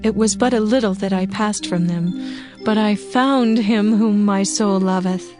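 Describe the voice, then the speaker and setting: A woman playing a devoted young bride in a dramatized scripture reading, speaking in a solemn, measured, poetic manner. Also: medium pitch, close to an everyday voice. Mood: yearning, tender. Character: devoted young bride yearning for her beloved. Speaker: actor reading dramatized audio Bible. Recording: music bed behind the voice.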